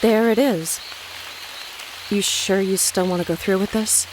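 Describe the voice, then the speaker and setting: A woman's voice: mid-range voice, measured pace, noisy background, lightly acted. An actress playing an earnest, anxious young woman companion who pleads with emotion, in an audio drama. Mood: pleading. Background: music.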